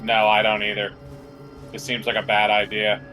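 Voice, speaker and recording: A man's voice: lowish voice, completely flat delivery, moderate pace, sharp tone, faint music behind. A man casually chatting as co-commentator on a game stream. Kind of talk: casual gameplay chat.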